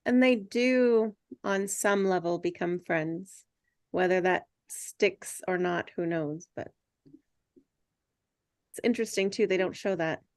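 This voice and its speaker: A woman's voice: on the low side, slow, no echo, studio quality. A woman talking casually and thoughtfully in a group discussion, as one of its hosts. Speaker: table read host who cast the reading.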